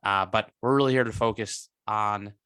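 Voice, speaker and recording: Guest, 20s man, lowish voice, dry close sound, earnest and halting. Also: steady tone, a bit bright, smooth, no echo. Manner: earnest, halting with many restarts and fillers. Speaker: guest entrepreneur. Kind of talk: interview.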